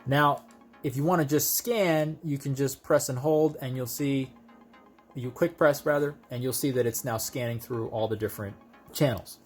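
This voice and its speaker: A man's voice: mid-range voice, normal speed, faint music behind. A man giving a casual, conversational hands-on product demonstration, explaining things step by step as a video host.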